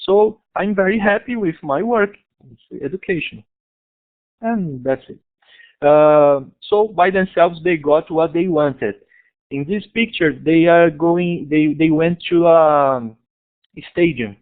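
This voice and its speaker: A man from Brazil with a Brazilian Portuguese accent, giving a talk about his school over an online conference in halting English with many restarts. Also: on the high side. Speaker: guest speaker, school founder. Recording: tinny online call audio.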